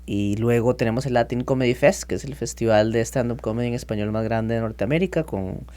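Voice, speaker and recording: A man in his thirties from Costa Rica, telling his story as a podcast guest in a lively, chatty way. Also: medium pitch, brisk, smooth. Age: 30s. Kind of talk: casual interview talk.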